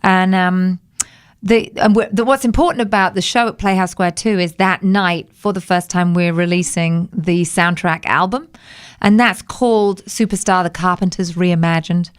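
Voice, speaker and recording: A woman's voice: lowish voice, fairly even intonation, moderate pace, clean tone, no room sound, clean studio sound. A woman singer being interviewed on the radio, reflective and telling anecdotes in a conversational way. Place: radio studio.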